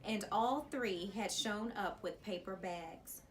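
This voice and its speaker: Female storyteller for children's chapter book, expressive teacherly read, mid pitch, slight background noise. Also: slow. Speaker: elementary school teacher reading aloud.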